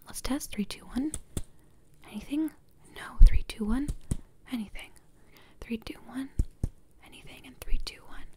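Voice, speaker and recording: A woman whispers breathily in a fairly high register. She plays a doctor in an ASMR exam roleplay, giving short, gentle instructions and reassurance step by step. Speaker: ASMR performer playing a doctor.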